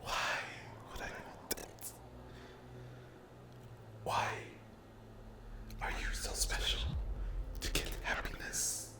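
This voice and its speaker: A man voicing a sadistic, possessive sleep paralysis demon in an ASMR horror roleplay, taunting and menacing in breathy whispers and gravelly growls. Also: slow pace, pauses often, natural voice. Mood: cold, condescending.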